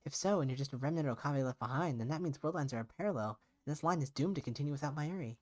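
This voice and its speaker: A man's voice: fairly high pitch, racing, voice pushed up, natural voice. A man voicing an earnest young woman in a visual novel, blunt but caring, questioning frankly and insistently. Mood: urgent, questioning.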